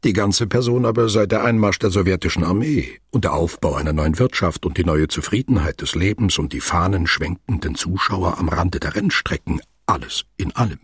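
Narrator for literary radio drama: deep, heavily husky, sober and observant, detached storytelling. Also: male voice, fairly quick, hardly any pauses, dry recording, clean studio sound. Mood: detached, precise. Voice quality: very husky, low.

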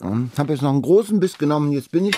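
A woman's voice: sits low, lively intonation, racing, noisy background. A woman hosting a podcast in casual conversation, speaking standard speech. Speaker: podcast host. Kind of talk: casual chat.

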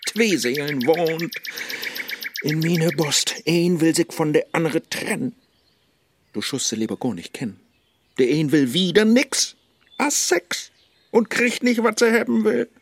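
An actor playing the restless scholar Faust in a Low German verse radio play, declaiming rhymes in a Low German accent. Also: male voice, creaky, dry recording, full character voice. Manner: rhyming declamation, swinging from gloom to fervour.

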